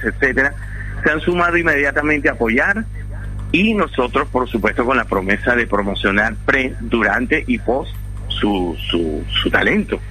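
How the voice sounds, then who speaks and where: A man's voice: medium pitch, rough recording. A middle-aged man from Venezuela, an event organizer telling his story at length on a radio interview. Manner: rambling, animated storytelling with restarts.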